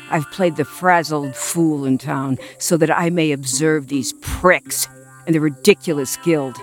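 An actor plays a sober undercover protector in a period audio drama. His voice is on the high side, measured and formal, with light music underneath. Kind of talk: audio theatre acting.

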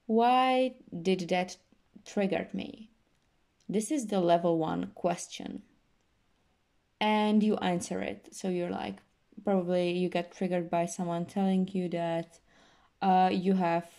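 Slow, gravelly-voiced young woman. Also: fairly low pitch. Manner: slow, soft-spoken, many fillers and restarts. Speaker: podcast host. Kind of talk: casual self-help talk.

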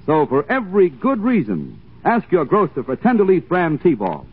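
A man announcing a scripted 1940s radio advertisement as a polished sales pitch. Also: huge pitch swings, normal speed, faint music behind. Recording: tinny, thin 1940s radio broadcast.

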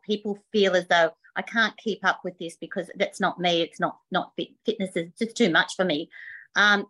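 A woman's voice: fairly low pitch, pushed and bright, poor audio. A woman yoga and pilates teacher talking earnestly and enthusiastically as a podcast guest. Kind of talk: casual interview. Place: van while traveling.

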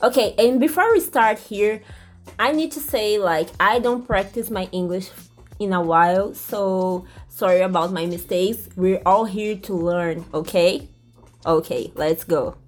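A woman's voice: mid-range voice, a little slow, soft background music. A young Brazilian woman with a Brazilian Portuguese accent, a podcast guest speaking English hesitantly and searching for words.

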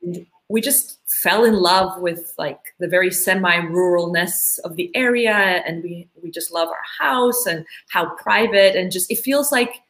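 A woman's voice: lowish voice, a little slow, few pauses, fairly bright tone. A woman from Tanzania, a school board candidate, answering interview questions in an eager, chatty way, full of "like" and "you know".

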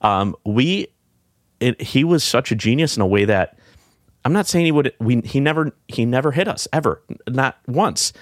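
A middle-aged man with a deep voice, speaking in a reflective, halting way with many fillers and restarts, as a guest in a casual heartfelt conversation. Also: lively intonation, dry recording, studio quality. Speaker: podcast guest.

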